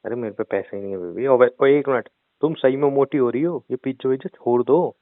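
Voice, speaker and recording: A man's voice: medium pitch, quick pace, no echo. A young man teasing his girlfriend in a comedic phone call, playful and mocking. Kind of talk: scripted comedic phone banter.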